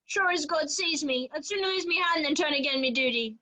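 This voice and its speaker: A woman's voice: high-pitched, brisk, pushed and bright, poor audio. A woman playing a defiant, loyal sailor facing death in a radio play, declaiming in rustic sailor dialect. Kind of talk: children's theater radio play acting.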